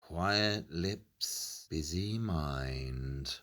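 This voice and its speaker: Arrogant gentleman detective, forceful edge, animated swooping intonation, dry wit, Victorian audio drama. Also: very deep voice, extremely slow, a little hiss or hum, heavily acted. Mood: aloof. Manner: clipped, superior, dryly witty.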